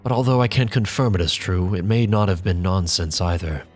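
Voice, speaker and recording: A man with a very deep voice reads a dramatic first-person monologue. His delivery is measured and reflective, with a bitter edge. Soft music plays quietly behind him. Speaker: reader.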